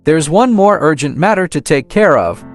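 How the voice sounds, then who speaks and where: A man's voice: fairly high pitch, animated, moderate pace, faint music behind. A man playing an eager, fussy young vice guild master in a manga dub, earnest in manner.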